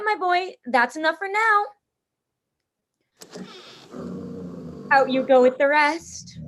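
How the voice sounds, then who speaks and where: A woman playing an urbane, cruel general and hunter in a radio play, suave, theatrical and measured. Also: high-pitched. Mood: indulgent.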